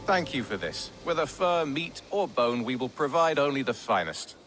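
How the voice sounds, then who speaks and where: An actor playing a proud, genial village tanner in a video game, with a hearty manner. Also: male voice, medium pitch, big pitch swings, moderate pace, over music, natural voice. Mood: proud, friendly. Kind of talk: video game cutscene acting.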